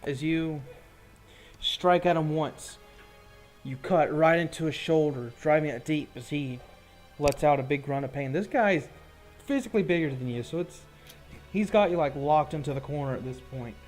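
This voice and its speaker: A man's voice: on the high side, moderate pace, darkish tone. Male game master narrating over music. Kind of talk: improvised narration of a tabletop roleplaying combat.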